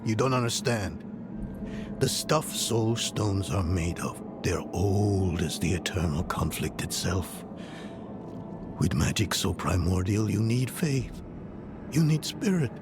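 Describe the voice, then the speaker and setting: An actor playing a weary, self-doubting older scholar-mage in a fantasy game, gravelly and halting, with a brooding manner. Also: male voice, deep voice, expressive. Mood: anguished, doubtful. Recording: loud music under the voice.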